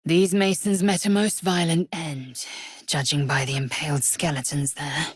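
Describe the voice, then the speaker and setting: An actress playing a proud, fierce, zealous female githyanki warrior in a video game, imperious, clipped and formal, with a husky, breathy rasp. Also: low-pitched, little pitch movement, normal speed, dry recording. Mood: grave, measured. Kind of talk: video game character acting.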